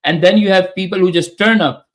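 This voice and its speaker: Emphatic Pakistani-born man, high voice. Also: fairly quick. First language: Urdu. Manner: emphatic, repeats phrases, acts out quoted voices. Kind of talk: opinionated casual talk.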